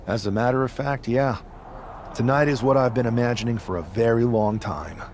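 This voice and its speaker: A man's voice: medium pitch, moderate pace, natural voice. A man playing a determined, ambitious young wrestler in a video game cutscene. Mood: confident. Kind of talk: scripted game cutscene dialogue.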